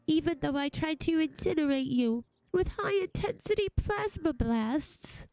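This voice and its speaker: A woman voicing an earnest, gentle alien refugee in a video game, speaking in formal, mournful phrases. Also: sits high, pitched above the performer's own voice. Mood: remorseful. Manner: formal, elaborate wording.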